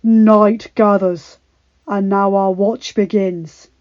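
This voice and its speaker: Young male warrior king, loud and slow, rallying an army; a woman reader doing the voice. Dull, dry take. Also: mid-range voice, steady tone, dry recording, natural voice. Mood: solemn.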